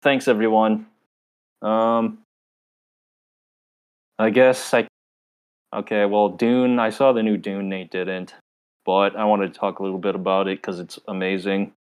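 A young man with a fairly low voice, chatting casually as a podcast co-host. His delivery is low-key and flat, close to a monotone, and he rarely raises his energy. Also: unhurried, pauses often, clear, resonant tone. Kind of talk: casual chat.

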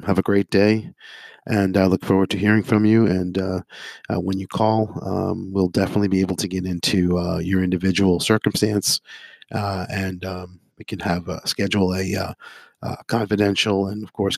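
A hoarse man, a psychotherapist and addiction interventionist, giving a halting talk to viewers full of ums and uhs and strings of listed phrases. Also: low-pitched, flat delivery, no room sound. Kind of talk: informational monologue to viewers.

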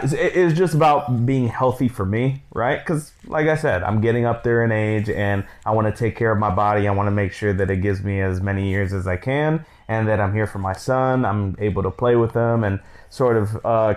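A man in his thirties co-hosting a podcast, chatting casually with his wife in a rambling, laid-back way with many fillers. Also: fairly low pitch. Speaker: podcast co-host.

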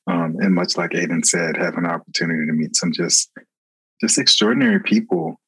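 A man from Florida, a recruiter, talking in an earnest, reflective way with many pauses and ums. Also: low voice, a bit bright, slightly rough, no echo, poor audio. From Florida, USA. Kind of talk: casual interview talk.

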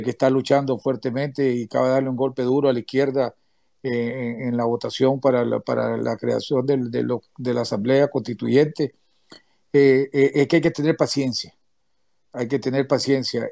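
A man giving closing remarks on a remote audio call, speaking in a halting way with many restarts and hesitations. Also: medium pitch, vocal fry, warm tone, no echo, clean studio sound. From Nicaragua. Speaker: activist speaker, former political prisoner. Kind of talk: closing remarks in an online audio chat room.

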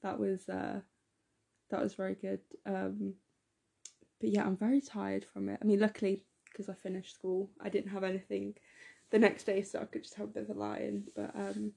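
A teenage girl, a bit hoarse, chatting enthusiastically as podcast co-host with lots of fillers. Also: medium pitch, completely flat delivery, moderate pace, mellow. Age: teens. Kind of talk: casual podcast chat.

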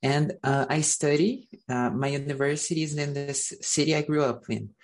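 A man's voice: on the high side, normal speed. A man in his twenties, a medical student guest, answering questions hesitantly with many fillers. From Brazil. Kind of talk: casual interview answers.

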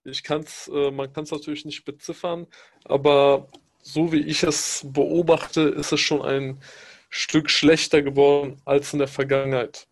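Gravelly man, Pashto native speaker. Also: on the high side, flat delivery. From Afghanistan. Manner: measured, reflective, many hesitation fillers. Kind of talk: casual podcast discussion.

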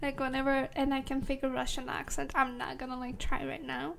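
A woman's voice: sits high, completely flat delivery, brisk, gravelly, a little hiss or hum. A young woman hosting a casual podcast, talking hesitantly with lots of fillers. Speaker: podcast host.

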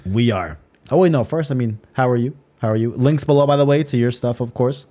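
A man's voice: medium pitch, a little fast, dark tone. A man hosting a casual podcast chat, laid-back and slangy, often trailing off and repeating phrases. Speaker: podcast host.

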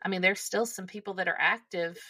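A woman's voice: on the low side, steady tone, fairly quick, sharp tone, slightly rough, no room sound, clean studio sound. A woman co-hosting a podcast, chatting casually and conversationally with her co-host. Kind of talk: casual banter between co-hosts.

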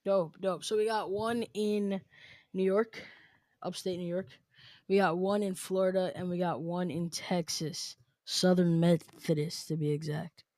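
A young adult hosting a casual podcast, upbeat and teasing, with quick asides. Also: medium pitch, fairly even intonation, darkish tone, dry recording, clean studio sound. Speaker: podcast host.

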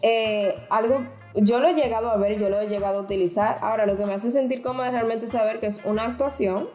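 A woman's voice: fairly high pitch, fairly even intonation, fast talker, a little mellow, echoey room, faint music behind. A woman co-hosting a podcast who explains topics frankly in casual chat, with many restarts. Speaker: podcast co-host.

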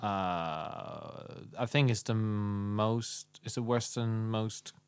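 A man hosting a podcast in a jokey, loose, halting way, chatting with a guest. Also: fairly low pitch, clean tone, no room sound. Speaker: podcast host. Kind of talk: casual interview chat.

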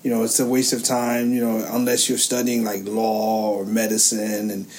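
A man's voice: lowish voice, monotone, moderate pace, darkish tone, poor audio. A man in his thirties from Haiti co-hosting a podcast, goofy and jokey, rambling through his story. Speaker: podcast co-host. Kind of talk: casual chat.

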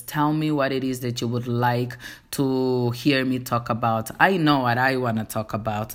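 A woman with a Portuguese accent, a native Portuguese speaker, hosting her own podcast and speaking earnestly straight to her listeners in a casual, faith-centered monologue. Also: very deep voice. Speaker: podcast host.

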